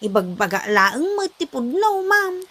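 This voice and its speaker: A woman's voice: high voice, sing-song, measured pace, heavy background noise. A woman with a Filipino accent playing an outspoken housemaid who is polite yet argues back in a comedy drama. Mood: cheeky, protesting. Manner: deferential but argumentative.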